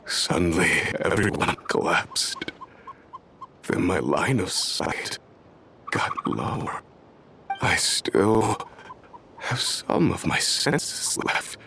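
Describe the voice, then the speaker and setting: An actor playing a dazed, frightened man in a game drama, speaking in halting, broken phrases. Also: sits low, very animated, vocal fry, pushed and bright, slight background noise, exaggerated voice. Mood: dazed, weak. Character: dazed, frightened man whose mind was swapped into an animal.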